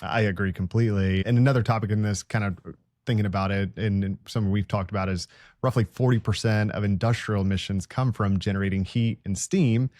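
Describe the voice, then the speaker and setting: A man's voice: fairly low pitch, fairly even intonation, brisk, a little mellow, dry recording, studio quality. A man hosting a podcast, asking questions and affirming often. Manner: affirming, asks long questions. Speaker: podcast host. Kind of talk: podcast interview.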